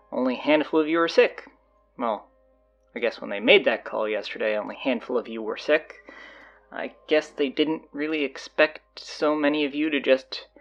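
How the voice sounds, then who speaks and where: Gravelly, hesitant high school announcer played by a man. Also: dull audio. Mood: exasperated, casual. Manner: hesitant, rambling, casual, dryly exasperated. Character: flustered, sardonic high school stand-in morning announcer. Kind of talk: audio drama performed as rambling PA announcements.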